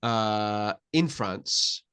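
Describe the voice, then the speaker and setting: A man's voice: lowish voice, drawn-out words, fairly bright tone, smooth. A man hosting an interview podcast, chatty and repeating his words, with many filler sounds. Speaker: podcast host.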